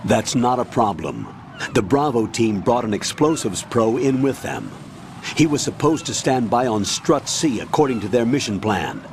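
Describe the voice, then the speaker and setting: A stern military commander, faint noise behind. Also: male voice, medium pitch, sing-song. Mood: brisk, stern. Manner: commanding, measured briefing. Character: stern, authoritative older male military commander. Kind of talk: video game voice acting.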